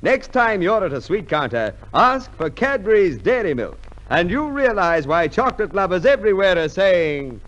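A man's voice: high voice, big pitch swings, moderate pace, a bit bright. A male host delivering a polished, persuasive 1950s radio commercial. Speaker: host and announcer. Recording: dull, hissy 1950s radio recording.

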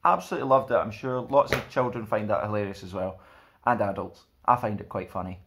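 A young man presenting and reading for children in an expressive storytime style, recorded indoors at about arm's length from the camera. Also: fairly low pitch, a little fast, a little hiss or hum. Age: young adult. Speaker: storytime host reading a picture book. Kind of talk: expressive children's read-aloud with friendly presenting. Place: small plain indoor room.

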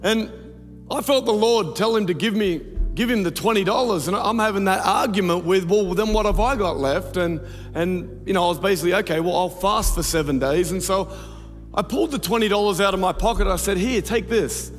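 A man in his thirties with an Australian accent, speaking to a large church from the stage with a handheld mic, telling a story and then praying over the offering. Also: high-pitched. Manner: storytelling, then slow prayer. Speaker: pastor giving offering message. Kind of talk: church offering talk and prayer. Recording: reverberant arena with music.

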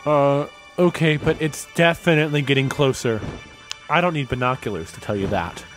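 A man's voice: medium pitch, moderate pace, soft background music, lightly acted. A man playing an easygoing, affectionate young adventurer in an audio drama, upbeat and casual. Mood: alert.

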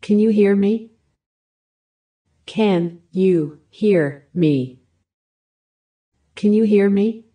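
Slow, careful woman reading drill phrases. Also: mid-range voice. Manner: even, careful enunciation of short phrases. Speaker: language lesson reader.

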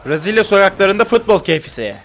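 A young man with a fairly high voice hosts a reaction video in a casual, joking way. He talks in loose slang, as if chatting with friends, with faint music playing behind him. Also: big pitch swings, fast, a bit bright. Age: 20s. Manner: slangy, jokey chat. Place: small indoor room with brick-patterned wall.

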